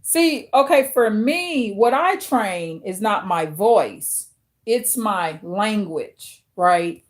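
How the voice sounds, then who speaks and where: Slow, deep-voiced woman host chatting live. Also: lively intonation. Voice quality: deep authoritative voice. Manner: slow, thinking aloud with fillers and restarts; addresses viewers directly. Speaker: livestream host reading chat. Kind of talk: casual chat with live viewers.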